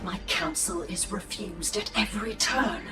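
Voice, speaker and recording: An actress playing a dutiful, uneasy alien seer in a video game, speaking in a formal, measured way. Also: female voice, mid-range voice, recorded from a distance, close to an everyday voice. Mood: deferential, frustrated. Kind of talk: scripted video game voice acting. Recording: echoey, set back from mic, music behind.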